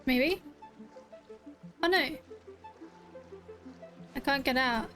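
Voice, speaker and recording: A woman with a high, unhurried voice comments casually on a game she is playing. She is excitable and exclaims often, with faint game music behind her. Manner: excitable, with repeated exclamations and gasps. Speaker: video game streamer. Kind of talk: casual gameplay reaction commentary. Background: video game music.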